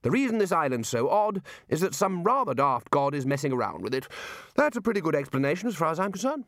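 A man's voice: on the high side, very animated, quick pace, fairly bright tone, no echo, clean studio sound, voice pushed up. A man voicing a bluff, blunt older male head wizard who speaks matter-of-factly.

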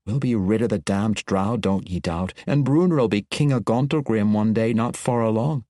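A man voicing a young female dwarf warrior who talks in a rough dwarven dialect and presses with blunt questions. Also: on the low side, moderate pace, warm tone, no echo, clean studio sound, lightly acted. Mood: probing.

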